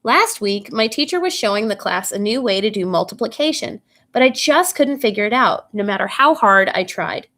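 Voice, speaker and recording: A woman reading a children's bedtime story aloud in an expressive, animated way, putting questions to young listeners. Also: mid-range voice, normal speed.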